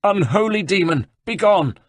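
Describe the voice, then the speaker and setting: A man voicing a panicked elderly abbot, crying out in high, wailing tones. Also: expressive, fast talker, no room sound. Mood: accusing. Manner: wailing, high-pitched cries. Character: panicked elderly male abbot, shrill and fearful.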